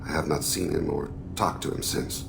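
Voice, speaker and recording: Gravelly, extremely deep alien assassin. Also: male voice, steady tone, exaggerated voice. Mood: solemn regret. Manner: measured, formal, introspective phrasing. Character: solemn alien assassin, grieving, regretful estranged father. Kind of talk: video game dramatic dialogue.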